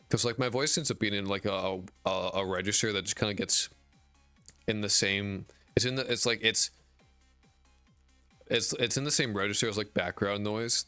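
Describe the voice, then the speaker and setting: A man streaming after twelve hours, his raspy voice worn out, who mumbles and rambles casually with lots of fillers and swearing. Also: on the low side, bright, forceful tone, no echo. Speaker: indie game dev streamer. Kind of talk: casual live-stream chat reading viewer messages. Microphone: desk mic with a high-pass filter.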